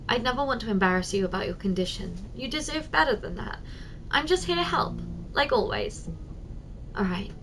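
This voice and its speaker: A woman playing a giant, doting, teasing office secretary in an ASMR roleplay, speaking softly and coaxingly straight to the listener. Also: medium pitch, slight background noise, natural voice. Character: giant, doting, teasing female office secretary, tender toward tiny boss.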